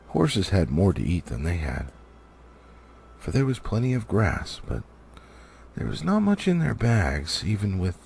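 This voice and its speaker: Highly animated, gravelly male storyteller. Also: slight background noise. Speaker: amateur audiobook reader. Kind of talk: expressive storybook narration.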